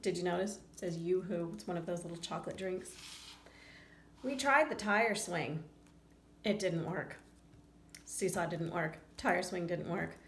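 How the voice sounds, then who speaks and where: A woman reading a children's picture book aloud expressively, breaking off for chatty asides to young listeners. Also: on the low side. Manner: expressive, acts out lines and chats to young listeners. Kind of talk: read-aloud storytelling with casual asides. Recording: roomy echo, faint background noise.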